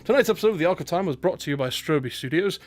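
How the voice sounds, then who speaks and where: A man's voice: fairly high pitch, lively intonation, rapid-fire. Guy announcer doing the sign off for a radio drama, music playing behind. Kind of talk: scripted credits narration.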